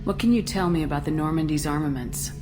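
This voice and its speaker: Woman as a low-voiced tough military commander. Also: fast talker, lightly acted. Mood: probing. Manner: blunt, firm. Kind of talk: video game voice acting.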